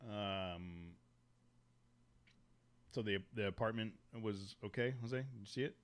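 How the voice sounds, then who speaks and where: A man's voice: fairly low pitch, unhurried. Man hosting a casual podcast. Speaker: podcast host. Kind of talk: casual chat.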